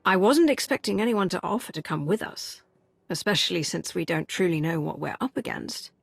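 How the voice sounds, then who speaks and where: An actress voicing a calm, caring and resolute female military commander in a video game, speaking in a measured way. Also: fairly low pitch, creaky, slightly rough, no room sound, heavily acted. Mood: composed. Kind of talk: video game cutscene acting.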